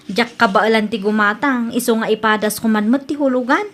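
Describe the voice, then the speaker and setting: A woman playing a grown daughter with an Ilocano accent in an audio drama, speaking softly and earnestly. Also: on the high side, fairly even intonation, a little fast, lightly acted. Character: grown daughter, once scornful now humbled. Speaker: daughter Brenda.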